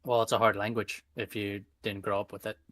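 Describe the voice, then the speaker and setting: A man's voice: on the low side, level tone, a little fast, a bit bright, no room sound. A man chatting casually as a guest, with dry, matter-of-fact humor. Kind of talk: casual chat.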